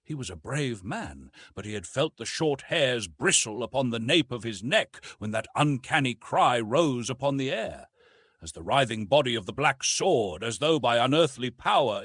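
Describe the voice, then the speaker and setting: Male narrator, dramatic adventure audiobook, highly animated intonation, husky voice, suspenseful delivery, dry close sound. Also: no room sound. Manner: theatrical, suspenseful storytelling delivery.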